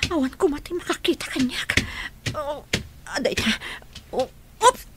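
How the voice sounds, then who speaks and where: A woman's voice: high-pitched, expressive, unhurried, heavy background noise. A woman playing a harsh, scheming, indignant aunt in a radio drama, muttering and exclaiming. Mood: alarmed muttering. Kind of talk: radio drama acting in Ilocano.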